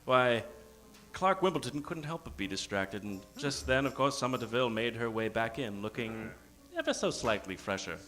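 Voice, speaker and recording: A man's voice: medium pitch, expressive, normal speed, a bit bright. A man giving theatrical, improvised narration as announcer in the style of old-time radio. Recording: roomy stage sound, music behind.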